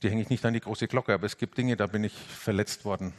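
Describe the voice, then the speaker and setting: A man giving a reflective sermon, earnest, rising to emphatic lifts and sinking to hushed, quiet passages. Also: on the low side, fairly even intonation, fast talker. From Franconia, Germany.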